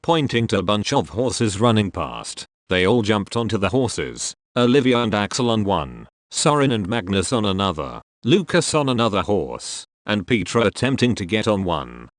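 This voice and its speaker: A man's voice: lowish voice, sing-song, moderate pace, hardly any pauses, dry recording, studio quality. A synthetic, robotic man's voice reading a scripted story aloud in a mechanical, detached way. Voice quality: synthetic, robotic text-to-speech voice. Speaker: narrator reading fan fiction.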